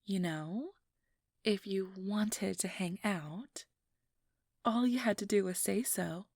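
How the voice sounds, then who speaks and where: A woman playing a determined, wounded vampire hunter in an ASMR roleplay, with a breathy, husky voice that often drops near a whisper and an intimate, intense delivery. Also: medium pitch, slow pace, darkish tone, dry recording, clean studio sound. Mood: teasing, casual. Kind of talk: ASMR roleplay audio drama.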